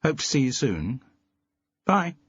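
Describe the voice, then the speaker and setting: A man's voice: mid-range voice, very animated, takes it slowly, slightly rough, no room sound, lo-fi sound, voice pushed up, heavily acted. A man voicing a friendly, wry woman who is an amateur sleuth.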